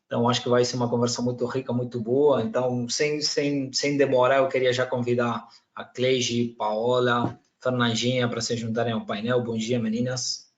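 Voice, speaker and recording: Argentine man, mid pitch, chatty panel moderator on a video call, echoey room. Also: level tone, normal speed, hardly any pauses, highs cut off. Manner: chatty, loose, many fillers. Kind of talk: hosting a live online panel.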